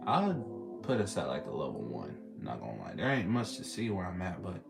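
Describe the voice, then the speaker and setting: A young man with a Texan drawl talks in a loose, slangy way as he hosts a casual stream. His voice sits low. Loud music plays underneath the whole time. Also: huge pitch swings, brisk, creaky. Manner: laid-back, slangy, reading and answering chat. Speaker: streamer host. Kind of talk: casual live chat with callers. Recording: loud music playing behind.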